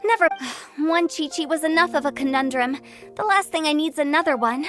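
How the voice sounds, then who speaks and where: A woman's voice: sits high, brisk, a bit bright, soft background music. A woman voicing a mischievous young funeral parlor director who talks in a playful, theatrical, teasing way in a video game. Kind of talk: game voice acting.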